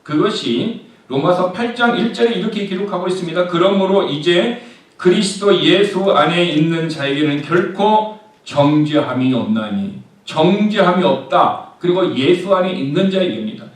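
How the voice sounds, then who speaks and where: A man's voice: sits high, expressive, normal speed. A man preaching a sermon as pastor, often asking the congregation a question and then answering it himself. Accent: Korean. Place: church sanctuary. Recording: very echoey church hall, mic at a distance.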